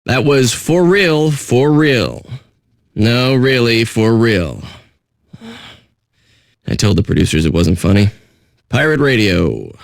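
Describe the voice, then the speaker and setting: An actor playing a surly, sarcastic radio DJ in a video game, with deadpan, contemptuous patter. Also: male voice, mid-range voice, takes it slowly, gravelly, pauses often, no echo, studio quality, exaggerated voice. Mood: deadpan, smug.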